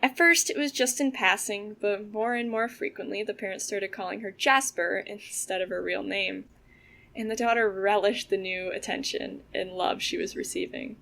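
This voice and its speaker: A young woman acting as a storyteller in an audio drama, with a creaky voice. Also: mid-range voice, moderate pace, sharp tone. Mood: measured, eerie storytelling. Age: young adult. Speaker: student audio drama actor.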